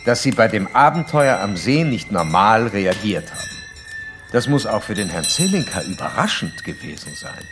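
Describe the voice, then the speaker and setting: An actor in a radio drama playing a probing, condescending psychoanalyst who lectures in a measured, pedantic way. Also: male voice, on the low side, big pitch swings, music in the background. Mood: probing, smug. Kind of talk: German radio drama acting.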